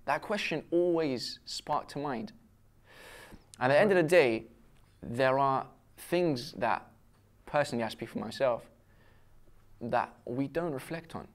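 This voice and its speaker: A man's voice: medium pitch, lively intonation, a little slow. A young man from Syria and the UK speaks in an earnest, self-questioning way in a casual round-table discussion. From raised in Syria until twelve, then the UK. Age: young adult. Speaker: panel discussion participant. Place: indoor restaurant-style set with brick walls, seated at table. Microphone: lapel mic.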